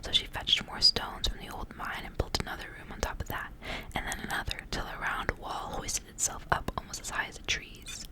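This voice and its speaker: Whispering woman reading a story as ASMR. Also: rough recording. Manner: soft unvoiced whisper, hushed and deliberate, phrase by phrase.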